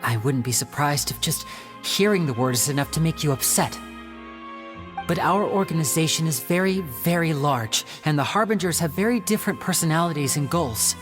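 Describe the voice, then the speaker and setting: Suave young man, a stage magician in a game: low, polite, earnest, music underneath. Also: lively intonation, moderate pace. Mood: apologetic. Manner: soft-spoken, polite, earnest. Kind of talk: video game voice acting.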